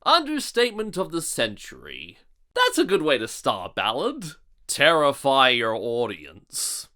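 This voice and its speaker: This is a sarcastic reviewer delivering scripted commentary. The voice is bright and forward, with very lively pitch movement. The pace is on the slow side, mocking throughout. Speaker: video reviewer and narrator.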